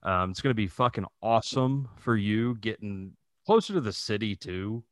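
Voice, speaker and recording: Low-voiced man in slangy podcast banter. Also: expressive, moderate pace, no echo, studio quality. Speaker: podcast co-host. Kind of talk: casual chat.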